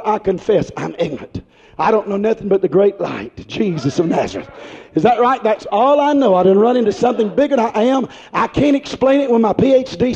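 Preacher guy, real high voice, hollering hallelujahs then going quiet. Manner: dramatic, drawn-out exclamations, calls of hallelujah; swings from shouting to low murmurs. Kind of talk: Pentecostal-style sermon.

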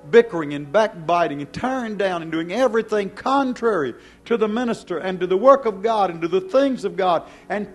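Male hellfire preacher, high-pitched voice. Stern, accusatory, emphatic, with big swings in volume. Faint music underneath. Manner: stern, accusing, with repeated rhetorical questions; emphatic, swinging between hushed and loud. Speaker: preacher, church pastor. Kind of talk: fiery hellfire sermon. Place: church service before a congregation.

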